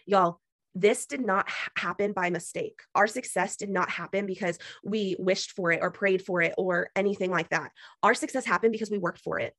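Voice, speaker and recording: A woman's voice: medium pitch, fairly even intonation, moderate pace, fairly bright tone, no room sound, clean studio sound. Preachy woman on a video call. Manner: preachy, emphatic, repetitive. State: losing her voice. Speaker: business team leader. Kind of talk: motivational pep talk on a video call.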